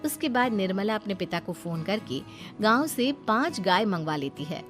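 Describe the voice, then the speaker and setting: A woman's voice: mid-range voice, lively intonation, normal speed, soft background music. A woman reading scripted story narration.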